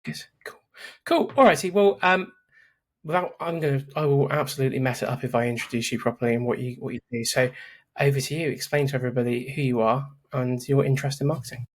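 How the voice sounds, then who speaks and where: A man's voice: mid-range voice, steady tone, quick pace. A man hosting a podcast, talking casually and restarting his sentences often. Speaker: podcast host. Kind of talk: casual interview chat.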